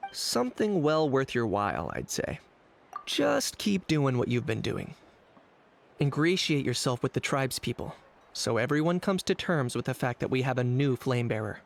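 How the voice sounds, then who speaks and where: A man playing a cool, pragmatic young hunter for hire in scripted game dialogue, measured and matter-of-fact. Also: medium pitch, sing-song, vocal fry, slight background noise. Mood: cool, businesslike. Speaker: game character voice actor. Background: game background music.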